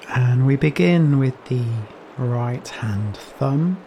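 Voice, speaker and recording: A man leading a guided meditation in a gentle, soothing manner, leaving long pauses between phrases. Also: mid-range voice, faint music behind. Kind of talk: guided Yoga Nidra meditation. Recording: steady rain noise behind voice. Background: rain sounds.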